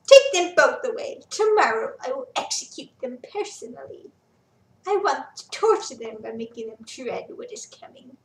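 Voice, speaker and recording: A woman's voice: sits high, very animated, normal speed. Woman voicing a sinister gloating Roman general. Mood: menacing. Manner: sinister, mocking. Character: gloating cruel male Roman general villain. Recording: roomy echo, distant mic.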